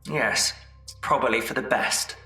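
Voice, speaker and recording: A man's voice: medium pitch, unhurried, vocal fry, bright, forceful tone, recorded from a distance, faint music behind, heavily acted. A man playing a scornful, impatient man who belittles women, curt and sneering in an audio drama. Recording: echoey room, away from mic.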